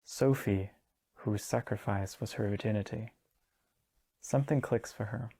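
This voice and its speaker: Wry young Danish man, mellow low voice. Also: measured pace, breathy edge. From Denmark. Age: 20s. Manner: wry, sardonic, casual asides. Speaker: video essayist. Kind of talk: video essay book recap.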